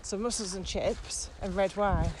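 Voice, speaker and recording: A woman's voice: medium pitch, fairly even intonation, measured pace, low-quality recording. A middle-aged woman co-hosting a podcast, chatting enthusiastically and laughing easily while walking outdoors in a field. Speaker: podcast co-host. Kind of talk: casual chat while walking. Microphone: camera far behind, walking away. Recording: loud outdoor background noise.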